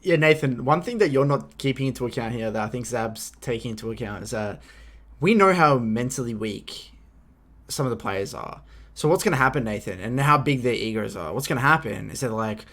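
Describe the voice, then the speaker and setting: A man co-hosting a podcast, talking in an animated, insistent way and acting out hypotheticals in casual debate. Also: mid-range voice, brisk. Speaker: podcast co-host. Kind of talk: casual heated discussion.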